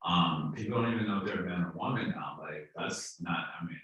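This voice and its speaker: A man with a very low voice talks loosely through a scripture class, dropping "like" as he goes. He sounds far from the mic in a strongly echoing temple hall. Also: brisk, dull audio. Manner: relaxed, chatty, peppered with "like". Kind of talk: casual scripture class discussion. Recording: echoey hall, distant mic.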